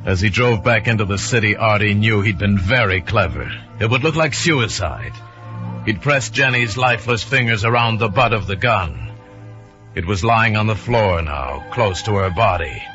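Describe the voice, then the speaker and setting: A man's voice: lowish voice, animated, moderate pace, sharp tone. A man narrating a suspense story in a dramatic, scripted radio style. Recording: muffled vintage radio recording with music. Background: music.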